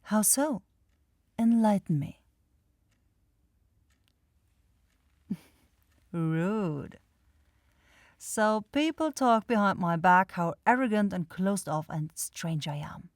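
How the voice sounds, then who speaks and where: Woman doing an aloof vampire girl asmr roleplay, slow n teasing, kinda sardonic, totally dry sound no room. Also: mid-range voice, lively intonation, dry recording. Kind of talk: ASMR roleplay addressed to the listener.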